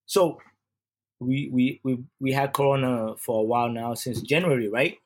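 A man's voice: mid-range voice, fairly even intonation, moderate pace, a little mellow. A man from Uganda, a youth sports coach, giving an earnest casual podcast monologue and often repeating and restarting his phrases.

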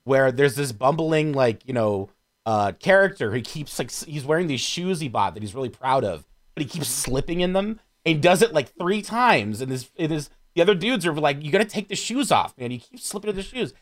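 A man in casual, rambling conversation on a film podcast, speaking in an animated, unpolished storytelling way. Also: a little high, a little fast. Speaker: podcast panelist discussing films. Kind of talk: casual chat, profane.

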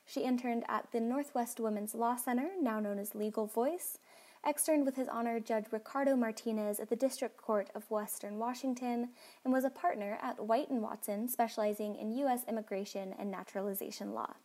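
A woman podcast host reads a long guest introduction in a clear, measured way, then moves into her questions. Her voice sits on the higher side. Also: steady tone, warm tone. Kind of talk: read introduction and interview questions.

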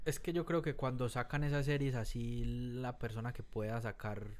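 A man's voice: mid-range voice, steady tone, normal speed, a little mellow. Assertive man, casual podcast. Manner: assertive, explains with examples. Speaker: podcast co-host. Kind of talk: casual chat.

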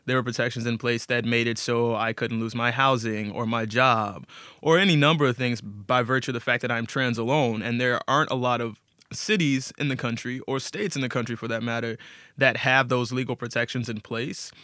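A man with a crisp, bright voice in a casual interview, recorded dry and close. He talks informally and candidly, like a writer explaining his work, with a self-deprecating streak. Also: mid-range voice, steady tone, fairly quick, no echo. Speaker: guest storyteller and writer.

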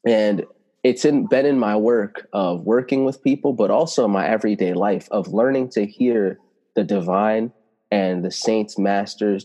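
A man with a low, mellow voice whose first language is Italian. He speaks as a spiritual teacher, telling his stories earnestly and reflectively, and he stretches out the words he wants to stress. The sound has a long, echoing reverb, as if recorded in a hall. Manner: reflective, flowing, slows to stress key words. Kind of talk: earnest personal storytelling.

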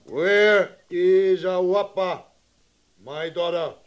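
A man's voice: sits high, drawn-out words, smooth, dull audio. An actor playing a proud, suspicious Sioux chief and father in a radio western, declaiming solemnly in clipped broken English. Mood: stern, demanding. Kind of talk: old radio western acting.

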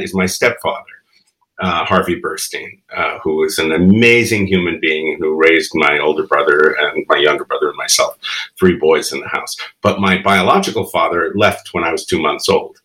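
A man's voice: low-pitched, a bit bright, rough recording. A man in his fifties from the Bronx whose first language is Spanish, a guest telling reflective, rambling stories with frequent laughs. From the Bronx, New York. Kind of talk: casual interview chat.

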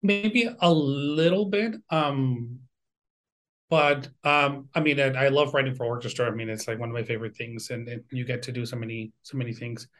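A man in his forties from Venezuela talks in a reflective interview style. His pitch sits in the middle, and he often doubles back on small words while he gathers his thoughts. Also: a bit bright. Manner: halting, repeats words as he thinks. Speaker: composer and university music teacher, guest.

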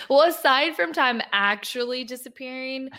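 A woman co-hosting a podcast in a casual chat, upbeat and quick to laugh. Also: high voice, bright, forceful tone. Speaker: podcast co-host.

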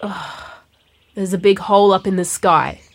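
A woman's voice: medium pitch, a little slow, gravelly. An actress playing an exasperated schoolgirl granddaughter in a comic audio drama. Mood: weary. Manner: exasperated, sighing.

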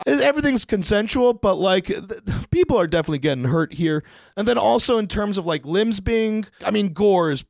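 Male storyteller, lighter pitch, dry sound. Loose, sweary, conversational delivery, performs both sides of the dialogue he recounts. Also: dry recording. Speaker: podcast studio staff member telling work anecdotes. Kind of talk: casual storytelling to camera.

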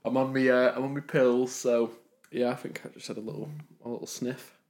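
A man's voice: mid-range voice, flat delivery, a little fast, a little mellow. A man co-hosting a podcast in casual chat, sniffly with a cold, rambling and laughing. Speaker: podcast co-host.

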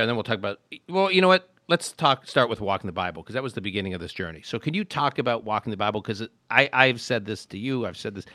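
A man hosting a podcast interview, friendly and halting, restarting his sentences as he leads the guest. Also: on the low side, fairly bright tone, dry recording. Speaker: podcast host.

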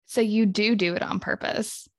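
A woman's voice: medium pitch, steady tone, normal speed, no echo, studio quality. A woman who sounds congested, co-hosting a podcast in a chatty, giggly, rambling way. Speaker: podcast co-host. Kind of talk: casual chat.

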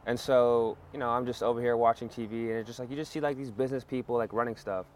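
A man's voice: medium pitch, steady tone, fast talker, a little mellow, a little hiss or hum. A laid-back man in his thirties from Canada, a French native speaker, talking casually as a podcast guest about his business. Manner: laid-back, says "bro" a lot. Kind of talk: casual interview chat.

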